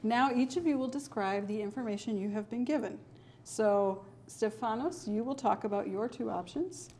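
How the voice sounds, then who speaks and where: Mellow-voiced woman examiner giving instructions. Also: fairly high pitch, a little hiss or hum. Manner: clear, measured, guiding. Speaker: speaking test examiner. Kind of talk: scripted test instructions.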